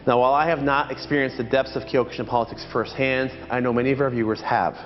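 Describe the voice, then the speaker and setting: A man narrates a martial arts history in a measured, explanatory way, his voice at an ordinary male pitch. The sound is dull and carries a long reverb tail, with faint music underneath. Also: little pitch movement. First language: not Japanese. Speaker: martial arts channel host. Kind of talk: scripted documentary narration.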